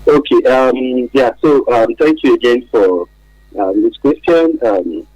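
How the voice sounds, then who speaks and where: A man with a Nigerian accent explaining things as an interview guest on radio, speaking haltingly and deliberately, often pausing to find words. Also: mid-range voice, animated, a little mellow, no echo, lo-fi sound.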